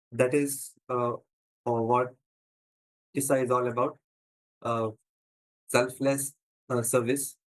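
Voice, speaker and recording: A man from India with an Indian English accent gives a conference talk, pausing often with fillers and stuttering. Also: mid-range voice, poor audio. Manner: frequent pauses and fillers, stutters. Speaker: conference presenter. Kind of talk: prepared talk.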